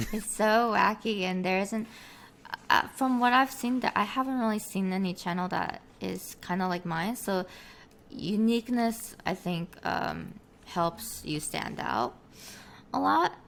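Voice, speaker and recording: Soft-spoken young woman. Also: medium pitch, expressive, creaky, slight background noise. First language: Korean. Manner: soft-spoken, halting, many fillers, laughs often. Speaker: interview guest, streamer and engineer. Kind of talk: casual video call interview. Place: small home streaming room. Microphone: headset with desk mic on video call.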